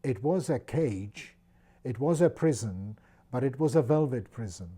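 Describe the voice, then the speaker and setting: A man in his sixties from the Czech Republic with a Czech accent, speaking thoughtfully in an interview with many pauses and restarts. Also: mid-range voice, animated, dark tone, husky. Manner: thoughtful, with frequent fillers and restarts. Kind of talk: reflective personal interview.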